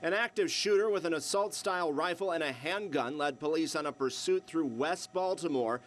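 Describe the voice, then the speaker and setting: A man's voice: a little high, normal speed, slight background noise. A man delivering a scripted TV news report as a field reporter, live from a city street.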